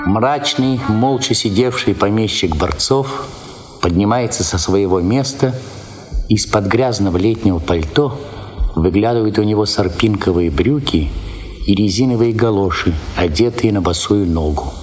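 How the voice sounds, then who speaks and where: A man narrates a literary radio drama in a lowish voice. His scripted reading plays over clear music, with slightly muffled sound. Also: normal speed, a little mellow.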